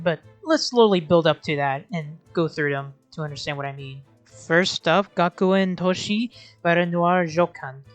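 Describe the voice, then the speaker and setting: A woman hosting a video essay, giving casual scripted commentary with wry, sarcastic asides and informal, sweary phrasing. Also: lowish voice.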